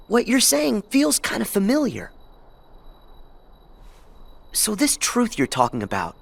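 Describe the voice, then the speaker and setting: A man's voice: high voice, vocal fry, full character voice. An actor playing a naive, good-hearted teenage boy hero in a video game. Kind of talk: animated video game dialogue. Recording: music playing under the voice.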